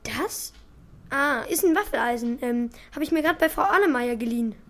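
A performer playing an eager, awkward schoolboy with a hesitant, excitable manner in a children's radio play. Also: high-pitched, moderate pace.

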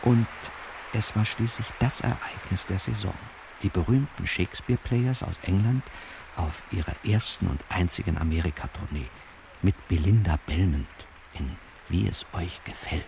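A man's voice: sits low, moderate pace, vocal fry, darkish tone, a little hiss or hum. An actor playing a wry, self-satisfied male newspaper reporter, chattily narrating his own story in a radio crime drama. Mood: wry, chatty.

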